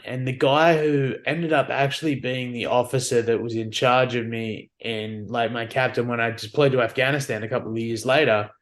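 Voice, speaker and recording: Brief: Australian male veteran, 30s, candid podcast guest, easygoing storyteller. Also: mid-range voice. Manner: relaxed, candid, acts out quotes. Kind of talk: casual storytelling chat.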